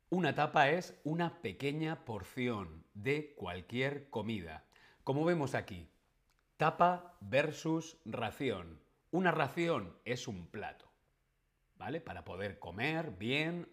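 A man teaching Spanish in a live stream, speaking slowly and clearly and repeating key words for learners. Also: mid-range voice. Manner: reads viewer chat questions aloud. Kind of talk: live-streamed language lesson.

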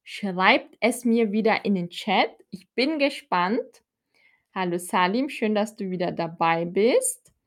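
A woman from Austria, teaching a live-streamed language lesson in slow, clear teacher talk, repeating words for learners. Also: medium pitch, lively intonation. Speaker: German teacher.

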